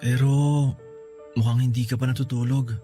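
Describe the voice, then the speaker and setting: A man with a Filipino accent playing a gentle, grieving, reassuring husband in an emotional radio drama. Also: medium pitch, little pitch movement, moderate pace, faint music behind, lightly acted. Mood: weak, caring. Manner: tender, reassuring. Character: gentle, consoling husband grieving a lost baby.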